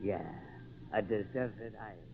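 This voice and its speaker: A man's voice: lowish voice, a little slow, noisy background, lightly acted. Man acting a menacing mutinous sailor, tinny old radio. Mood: gloating. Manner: menacing, bossy, taunting. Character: villainous mutinous ship's first mate, gruff and gloating. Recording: tinny narrow-band old radio recording.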